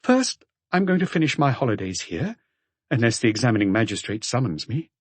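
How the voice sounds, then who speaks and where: A man voicing a calm, detached middle-aged wife on the telephone, cool and matter-of-fact, in an audiobook. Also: medium pitch, fairly quick, husky, no room sound. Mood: cool, composed.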